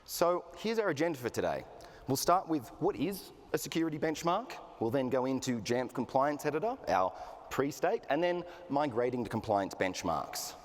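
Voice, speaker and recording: A man's voice: mid-range voice, very animated, normal speed. Man presenting with hall echo. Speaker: customer success manager presenting. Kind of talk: conference presentation. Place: conference hall. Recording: reverberant hall.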